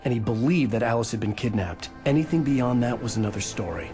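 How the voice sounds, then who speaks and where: A man's voice: medium pitch, lively intonation, fast, lightly acted. A man playing a troubled, weary writer in a game, speaking in a terse, understated way. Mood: brooding. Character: troubled male writer, brooding and weary, narrating his nightmare. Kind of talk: dramatic game acting with first-person scripted narration. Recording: music behind the voice.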